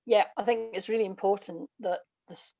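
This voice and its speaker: A woman's voice: mid-range voice, fairly even intonation, brisk, dark tone, no room sound. A woman being interviewed as a guest, explaining her writing craft thoughtfully in a conversational way, on a lo-fi recording. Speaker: interview guest, audio drama writer. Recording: dull, lo-fi, close dry sound.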